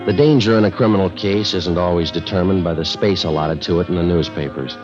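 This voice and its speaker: An actor playing a terse, no-nonsense police detective in a 1950s radio drama, speaking in a clipped, deadpan way. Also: male voice, low-pitched, a little fast, lightly acted. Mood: deadpan, grave. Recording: dull vintage radio recording with music.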